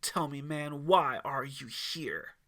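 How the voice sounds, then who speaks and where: A man's voice: a little high, takes it slowly, bright, forceful tone, exaggerated voice. A man voicing the devil Lucifer as a scornful, theatrical tempter in an audiobook story, mocking and drawing out his emphasis.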